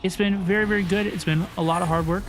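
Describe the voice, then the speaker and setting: A woman's voice: on the low side, fairly even intonation, quick pace. A woman hosting a gaming livestream, chatting casually and often repeating phrases for emphasis. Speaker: livestream host. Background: game music.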